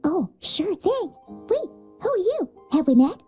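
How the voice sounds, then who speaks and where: A tiny fairy companion with an extremely high, squeaky child's voice. She is bubbly and fretful, swinging wildly in pitch like an excitable game cartoon sidekick. Music plays behind her. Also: measured pace, exaggerated voice. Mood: puzzled, playful. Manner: childlike, exclamatory, refers to herself in third person. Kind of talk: anime-style game voice acting.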